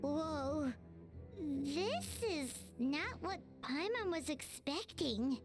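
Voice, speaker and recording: Childlike fairy companion, very high and animated, chatty anime game style. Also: female voice, drawn-out words, over music, exaggerated voice. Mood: uneasy. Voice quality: squeaky, childlike.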